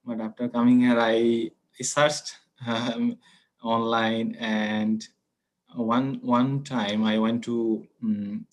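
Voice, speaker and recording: A man's voice: medium pitch, little pitch movement, slow pace, poor audio. A young man from Bangladesh with a Bangladeshi accent, introducing himself on a video call, hesitant with many fillers. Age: young adult. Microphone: laptop on video call.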